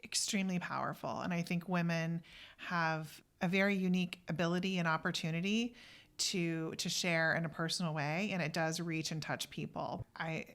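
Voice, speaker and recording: A woman's voice: fairly low pitch, steady tone, moderate pace, fairly bright tone. An American woman from Oklahoma hosting a conversational interview in an informal, reflective and encouraging way. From Oklahoma, United States. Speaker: podcast host.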